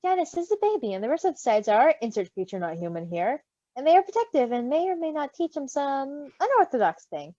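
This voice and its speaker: Animated, higher-voiced woman, slightly dull mic. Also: brisk, no room sound. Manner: animated, giggly, expressive. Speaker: co-host reading fan fiction aloud. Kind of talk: casual chat and story reading with a friend.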